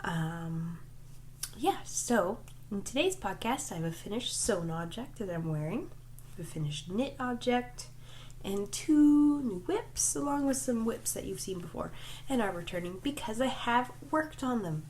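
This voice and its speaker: A woman's voice: mid-range voice, animated, a little slow, a little mellow, a little hiss or hum. Stuffy-nosed woman crafting vlogger. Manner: chatty and self-deprecating, many ums and likes. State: stuffy, slightly nasal from a cold. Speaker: crafting podcast host. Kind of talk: casual crafting vlog chat. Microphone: desk microphone.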